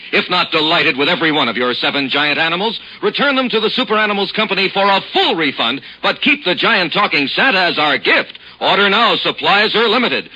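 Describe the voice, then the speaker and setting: A man reading a hard-selling, brisk old radio advertisement. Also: high-pitched, bright, forceful tone, poor audio. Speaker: radio ad announcer.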